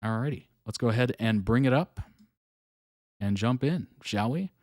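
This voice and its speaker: A man's voice: medium pitch, expressive, normal speed, a little mellow, no room sound, clean studio sound. A man hosting a live reaction stream, chatting casually with viewers, laughing often and using lots of fillers.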